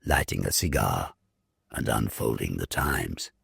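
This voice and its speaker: A British man reading a story aloud in an expressive, theatrical, measured literary narration style. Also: rumbling low, creaky, husky, no echo, clean studio sound. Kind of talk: expressive dramatic audiobook narration.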